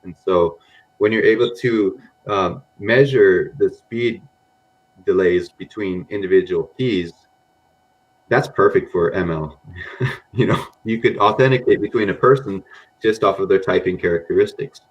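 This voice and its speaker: A man's voice: low voice, a little slow, dull audio. A man speaking as a guest on a data podcast. He rambles casually, with a mixed American accent, and often says "you know" and "um". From grew up in Japan among Americans.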